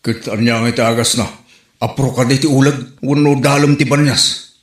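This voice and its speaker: A man's voice: medium pitch, fairly even intonation, moderate pace, echoey room, close to an everyday voice. An actor playing an exasperated village husband in an Ilocano Filipino comedy drama, bantering and questioning his gossiping wife. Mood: curious.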